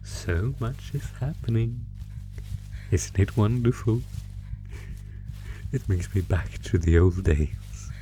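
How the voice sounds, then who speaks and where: A man performs as an ancient male monster from a horror roleplay, low in pitch and extremely slow. The creature savors each phrase, theatrically taunting its prey with predatory glee, over background music. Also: a little mellow, rough recording.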